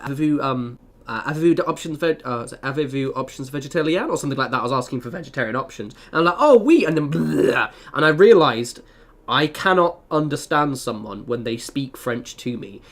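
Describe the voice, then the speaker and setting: Rambling, chatty young male streamer. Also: mid-range voice. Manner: rambling, animated storytelling with self-interruptions. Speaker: game livestreamer. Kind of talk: casual livestream chat over gameplay.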